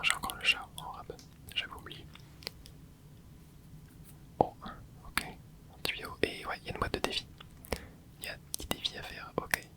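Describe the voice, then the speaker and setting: A person whispering relaxed running commentary over a video game, with soft breathy tones, close to a microphone indoors. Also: very high-pitched. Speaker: gaming ASMR host.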